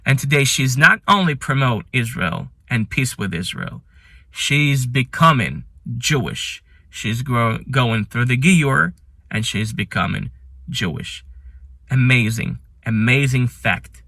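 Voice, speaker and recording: A man who is not a native English speaker hosts a ranked commentary, speaking earnestly and with admiration, with some halting phrasing. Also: medium pitch, expressive, creaky, bright, forceful tone, no echo, studio quality. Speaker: channel host. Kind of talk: ranking list commentary.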